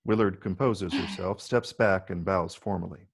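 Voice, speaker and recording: Brief: male narrator for screenplay directions, low voice with husky grain, measured delivery. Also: fairly even intonation. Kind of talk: scripted narration.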